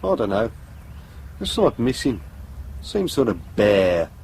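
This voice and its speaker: An actor playing a plain-spoken British police constable in a radio drama, slow and reflective. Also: male voice, fairly low pitch, very animated, gravelly, darkish tone, slight background noise, full character voice. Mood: musing. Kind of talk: naturalistic radio drama acting.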